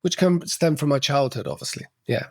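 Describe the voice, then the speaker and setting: A man's voice: on the high side, a little fast, creaky, no room sound. Chatty middle-aged man, dry close mic. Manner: chatty and reflective, frequent restarts and "actually". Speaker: podcast guest, cultural coach. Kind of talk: casual interview conversation.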